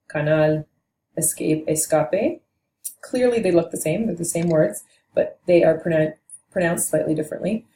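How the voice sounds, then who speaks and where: A woman's voice: low voice, warm tone. A woman teaching a linguistics lesson, explaining patiently and correcting herself now and then. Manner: measured and explanatory, with occasional self-corrections. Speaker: language teacher. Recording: echoey room with faint noise.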